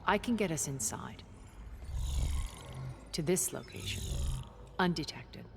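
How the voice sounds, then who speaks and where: A woman playing a secretive older strategist in a game cutscene, speaking in a measured, formal way. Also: low-pitched, animated, gravelly, noisy background, full character voice. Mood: cool, measured. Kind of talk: video game cutscene acting.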